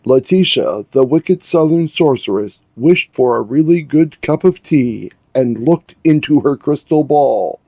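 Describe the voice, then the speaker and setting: A man narrating a scripted fairy tale as a storyteller, in a measured way. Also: mid-range voice, animated, dry recording, lo-fi sound. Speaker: author and narrator.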